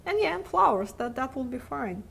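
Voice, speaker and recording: A woman's voice: high voice, big pitch swings, normal speed, vocal fry, fairly bright tone, a little hiss or hum. A woman hosting a live webcast, answering viewer questions in a chatty way with frequent laughs and fillers, her voice wearing out from talking. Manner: chatty, laughs easily, many filler words and restarts. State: losing her voice.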